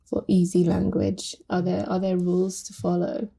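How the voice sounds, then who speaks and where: A woman's voice: fairly low pitch, completely flat delivery, moderate pace, creaky, warm tone. A woman speaking reflectively with fillers as a guest translator in a podcast conversation.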